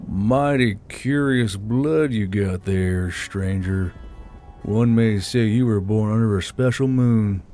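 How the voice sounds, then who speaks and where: A calm, dangerous outlaw leader who never raises his voice, as the narrator plays him. The delivery sits a little lower and softer than the narration and stretches out slowly. Faint music runs behind. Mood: cool drawl. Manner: slow, low, drawling menace. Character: cool, unflappable male gang leader.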